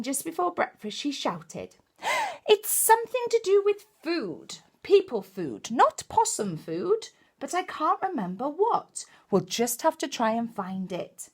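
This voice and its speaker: A woman reading a picture book to children like a primary teacher, expressive and exclaiming, with chatty asides. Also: high voice, slow pace. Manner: expressive, exclaiming, with gasps and hushed dramatic moments.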